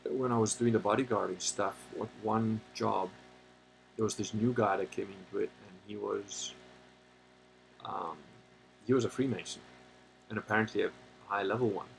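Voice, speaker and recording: A man with a low-pitched, gravelly voice talks loosely as a livestream host, wandering from point to point with frequent pauses and fillers. He turns blunt and opinionated when he takes a side. There is a faint hum of background noise behind him. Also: fairly even intonation, rough recording. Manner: rambling, halting, many pauses and fillers. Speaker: livestream host answering chat. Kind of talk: casual unscripted monologue.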